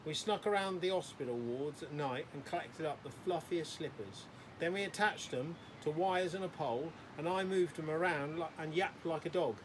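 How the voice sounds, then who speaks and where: A man voicing a proud, earnest and slightly slow young boy in a children's storybook. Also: fairly high pitch, noisy background, close to an everyday voice.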